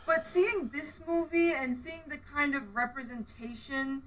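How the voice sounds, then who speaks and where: Bright-voiced woman, set back from the mic. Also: high-pitched, unhurried, distant mic, rough recording. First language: Polish and Russian. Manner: earnest, with frequent restarts. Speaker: cosplayer guest. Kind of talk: casual interview chat.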